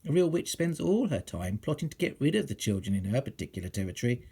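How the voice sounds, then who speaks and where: A man reading a children's book aloud like a teacher, theatrical and suspenseful, dropping into hushed whispers and swelling for emphasis. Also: medium pitch, big pitch swings, quick pace, gravelly, slightly rough. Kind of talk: dramatic storytelling, scripted narration.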